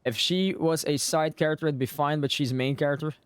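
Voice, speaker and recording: A man's voice: on the high side, fairly even intonation, a little fast, a little hiss or hum. A man giving casual, playful live gameplay commentary as a streamer, often repeating his phrases. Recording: game music behind the voice. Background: video game music.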